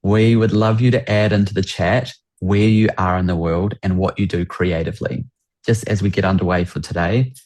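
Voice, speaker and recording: Deep-voiced New Zealand man hosting. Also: fairly even intonation, normal speed, darkish tone. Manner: enthusiastic, repeats welcomes and praise. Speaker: business coach hosting a workshop. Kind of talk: upbeat webinar hosting. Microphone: video call.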